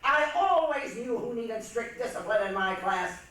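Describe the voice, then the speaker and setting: Strict older schoolmarm female stage actor: self-righteous, proper, condescending, animated intonation, heard at a distance. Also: a little high, normal speed. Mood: indignant, scolding. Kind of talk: stage reader's theatre acting. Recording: echoey hall from far off, hissy.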